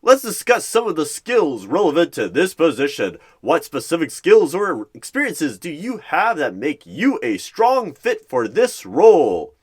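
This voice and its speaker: A man's voice: a little high, huge pitch swings, measured pace, few pauses, slightly rough, pitched above the performer's own voice, heavily acted. A man playing a nervous, defensive corporate recruiter in an interview roleplay, talking in emphatic, stilted office language with stumbles. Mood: earnest, businesslike.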